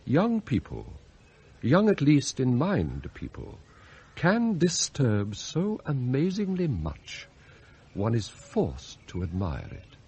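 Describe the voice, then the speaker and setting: Radio drama butler: English, very raspy, formal and deferential with sly depths, hugely varied intonation. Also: male voice, medium pitch, huge pitch swings, darkish tone, heavily acted. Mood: wry, musing. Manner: formal, measured, ornate wording. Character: outwardly immaculate, deferential, quietly plotting English butler. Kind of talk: radio play acting and narration.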